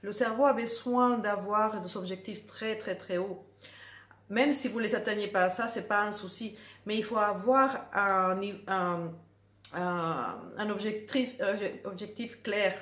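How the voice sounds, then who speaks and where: A woman's voice: medium pitch, unhurried, a bit bright. Middle-aged woman, drawing teacher, chatty and earnest, repeats words for emphasis, echoey room. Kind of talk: casual talk to camera.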